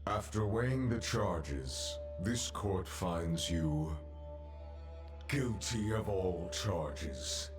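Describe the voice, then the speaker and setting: Brief: imperious game judge, very low voice, grave formal delivery, clear music underneath. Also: male voice, animated, exaggerated voice. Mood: grave judgment. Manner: formal, deliberate, solemn, pronouncing. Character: stern, imperious male judge passing a death sentence. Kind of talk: dramatic video game voice acting.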